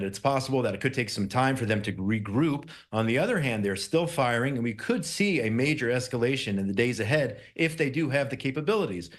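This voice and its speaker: A man with a mid-pitched voice comments as a guest correspondent in a live news interview. He speaks emphatically and tends to repeat key words to drive them home.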